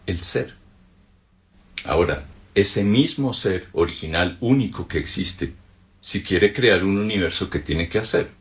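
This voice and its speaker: A man from Colombia with a Colombian accent, giving a reflective spoken commentary on a philosophical text, checking in with his listeners through quick rhetorical questions. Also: low voice. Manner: conversational, asks rhetorical checks like "ok?" and "mm?". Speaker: spiritual teacher.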